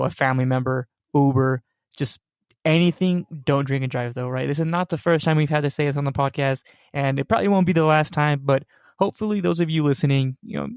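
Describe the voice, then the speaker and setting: Young guy hosting a podcast, kinda rambly, lots of "right?", gravelly but clear. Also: medium pitch, no room sound, clean studio sound. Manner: rambling, many fillers and "right?". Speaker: podcast host. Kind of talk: casual podcast chat.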